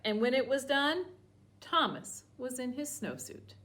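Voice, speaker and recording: A woman reading a children's picture book aloud with lively, expressive character voices. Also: high voice, unhurried, over loud music. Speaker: children's story reader. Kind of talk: storybook read-aloud. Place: church.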